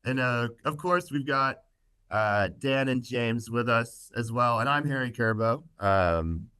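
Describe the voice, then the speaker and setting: A man's voice: mid-range voice, measured pace, bright, forceful tone, clean tone, dry recording. A man hosting a podcast casually, joking with his guests and using lots of fillers. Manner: joking, filler-heavy, upbeat. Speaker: podcast host.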